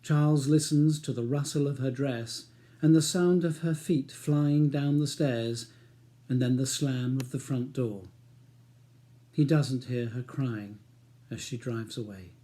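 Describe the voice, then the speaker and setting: A man's voice: medium pitch, unhurried, dark tone. A middle-aged man with an English accent reading his own novel aloud as audiobook narration.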